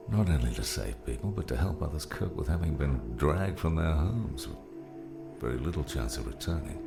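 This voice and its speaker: A gruff old mentor in an audiobook, acted out by a male narrator, with an extremely low, creaky voice that rises and falls freely. He is wry and fatherly, and there is music under his words. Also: exaggerated voice. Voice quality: deep, gravelly.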